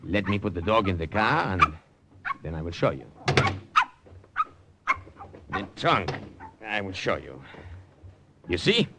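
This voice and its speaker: An actor playing a suave, genial French secret agent with a French accent and a wry manner in an old radio play. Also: male voice, deep voice, big pitch swings, slow pace, pauses often, heavy background noise, heavily acted. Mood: affable, coaxing. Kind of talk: 1940s-50s radio drama acting. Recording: old radio recording, loud music behind.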